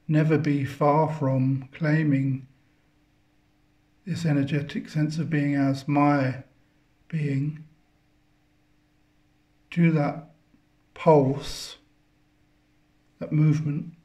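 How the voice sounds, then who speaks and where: A man leading a guided meditation, speaking contemplatively in halting phrases with long pauses between them. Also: a little high, warm tone. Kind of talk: guided meditation, spiritual talk.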